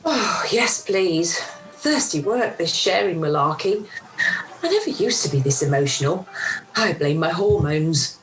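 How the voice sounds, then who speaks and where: A woman's voice: lowish voice, expressive, measured pace, slight background noise, low-quality recording. A middle-aged woman playing a weary, emotional mother who confides wryly in a radio play, recorded over a video call. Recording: amateur video-call recording with music behind.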